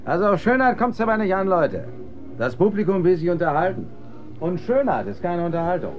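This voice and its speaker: Music plays behind a dull recording of a brash emcee. He is a cynical, callous showman in a radio play, haranguing in a high voice. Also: sing-song, a little fast. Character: brash, cynical dance marathon master of ceremonies. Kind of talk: German radio drama acting. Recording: band-limited, dull sound under music. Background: clear music.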